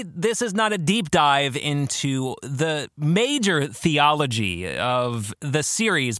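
Upbeat guy doing a solo podcast, kinda high voice, really dry close sound. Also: pushed and bright, no echo. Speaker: podcast host; author and former pastor. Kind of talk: solo podcast monologue, conversational yet scripted.

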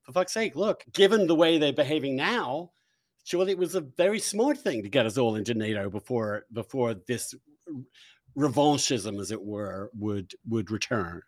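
A man hosting an informal interview, thinking aloud haltingly with repeated phrases and casual swearing. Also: mid-range voice, animated, no room sound. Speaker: interview host. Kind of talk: informal, opinionated interview conversation.

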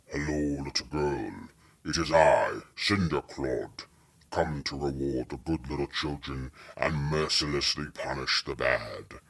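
A man voicing a disguised man playing an ominous cinder spirit, with a deep gravelly drawl and slow, mock-menacing delivery. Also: a bit bright, exaggerated voice.

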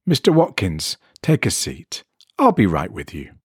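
Guy doing a calm lady doctor in a story, polite and soothing, dry close sound. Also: deep voice, a little slow, husky, no room sound, exaggerated voice. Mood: calm, professional. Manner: polite, professional. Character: composed woman doctor, attentive and soothing.

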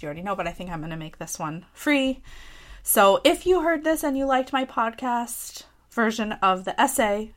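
A woman reading her own personal essay aloud for a podcast, reflective and candid, with a lightly self-amused, conversational touch. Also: mid-range voice. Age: adult parent of a young child. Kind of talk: personal essay read aloud with casual asides.

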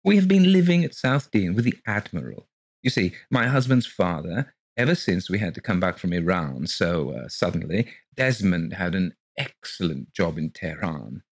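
A man's voice: deep voice, sing-song, moderate pace, breathy edge, no room sound, exaggerated voice. A man voicing a gushing, fluttery middle-aged woman who is eager to rent rooms. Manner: effusive, breathless, fluttery.

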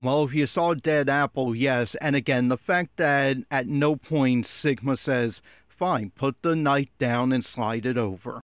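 A man's voice: medium pitch, unhurried, dry recording, studio quality. A man giving blunt, exasperated commentary as he reacts critically to an anime episode. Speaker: podcast host giving audio commentary.

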